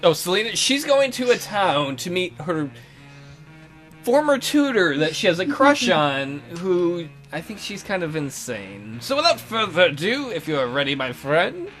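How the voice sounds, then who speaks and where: A man's voice: sits high, very animated, normal speed, a bit bright. A man co-hosting a let's play with casual, teasing commentary and jokey interjections. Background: acoustic guitar game music.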